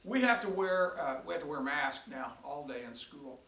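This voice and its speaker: Older guy teacher, raspy tired voice, rambles n restarts, kinda high pitched. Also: expressive, normal speed, a bit bright, distant mic. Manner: rambling, many restarts and fillers. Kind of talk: recorded lesson recap. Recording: echoey room, voice from off-mic.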